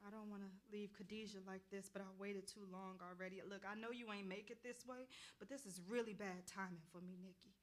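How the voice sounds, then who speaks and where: A woman's voice: mid-range voice, steady tone, quick pace, few pauses, close to an everyday voice. A woman acting a weary, exasperated woman who hears spirits, protesting in vernacular speech in a staged play reading. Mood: regretful.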